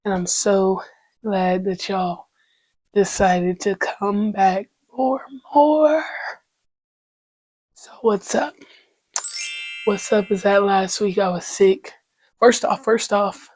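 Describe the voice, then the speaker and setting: A woman's voice: mid-range voice, fairly even intonation, slow pace, long pauses, darkish tone, no room sound, rough recording. A woman hosting her own podcast in a loose, slangy monologue, swearing freely and breaking into praise. She is congested and her voice is still coming back. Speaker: podcast host. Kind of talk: casual faith-filled podcast monologue.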